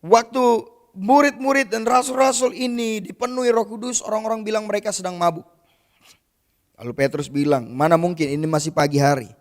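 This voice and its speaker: Guy preaching pretty high-pitched, chatty and informal, keeps calling the congregation brother. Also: brisk. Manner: addresses listeners as "saudara", retells scripture with quoted voices; conversational and informal, with casual asides. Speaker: pastor. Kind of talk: sermon.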